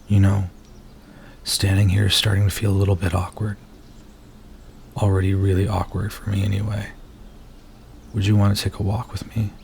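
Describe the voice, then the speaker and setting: A man playing a nervous, earnest werewolf in an intimate ASMR roleplay, speaking softly and haltingly as he confides in his date. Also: lowish voice, completely flat delivery, vocal fry, slight background noise. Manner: soft-spoken, hesitant, halting, earnest.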